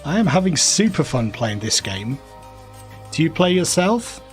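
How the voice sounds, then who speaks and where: A man with a mild Northern English accent, chatting in a relaxed, musing way while playing a game on a live stream and answering chat. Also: fairly high pitch, a little slow, soft background music. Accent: mild Northern English, softened by years in the south. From northern England. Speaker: gaming streamer.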